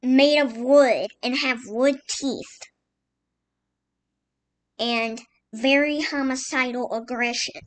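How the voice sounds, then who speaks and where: Slow, high-pitched woman reading emergency alerts. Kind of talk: scripted mock emergency broadcast narration.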